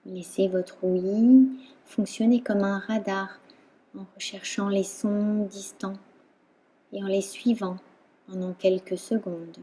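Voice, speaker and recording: A woman instructor guiding a relaxation meditation in a calm, soothing manner, leaving long pauses between phrases. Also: medium pitch, husky. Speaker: yoga nidra instructor.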